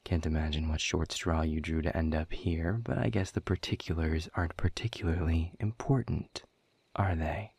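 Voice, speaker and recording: A man playing a sardonic, world-weary demon director in a scripted audio roleplay, speaking in dry, sarcastic, wordy drawls with a husky, breathy low voice. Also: normal speed, a little mellow, no room sound, exaggerated voice. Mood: wry, mocking. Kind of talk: scripted audio roleplay monologue addressed to the listener.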